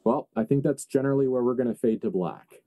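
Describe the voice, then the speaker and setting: A man acting as game master, narrating a tabletop horror roleplaying game in deliberate, halting phrases. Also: medium pitch, mellow, dry recording.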